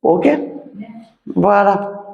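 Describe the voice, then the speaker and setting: Woman, magnetiser at a public talk; unhurried and halting, checks in often, recorded in a reverberant hall. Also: mid-range voice, flat delivery, gravelly, darkish tone, muffled recording. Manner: halting, punctuates phrases with "voilà" and "d'accord". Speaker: magnetiser giving readings. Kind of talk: spoken readings at a public talk. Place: association conference hall.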